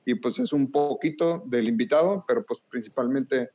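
An earnest man with a strong northern Mexican accent hosts a faith podcast. He talks in a loose, thankful way, full of filler words, at an easy middle pitch. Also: normal speed, mellow. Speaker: podcast host. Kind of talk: casual chat.

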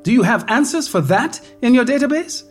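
A narrator voicing a distraught, defiant pregnant young woman whose lines jump to sharp, high outbursts. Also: sing-song, unhurried, slightly rough, soft background music, exaggerated voice. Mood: pleading.

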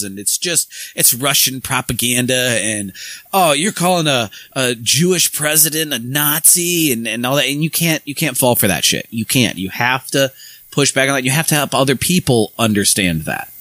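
A man's voice: mid-range voice, no echo. A man co-hosting a casual political podcast, talking in a rambling way with restarts and reading passages from a book. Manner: rambling, restarts words, lively emphasis. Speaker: podcast co-host.

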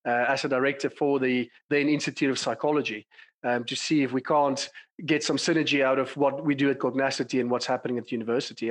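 A man in his forties with a South African accent, a clinical psychologist talking through his career as a podcast guest, thoughtful and full of small fillers. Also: mid-range voice. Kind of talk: conversational interview.

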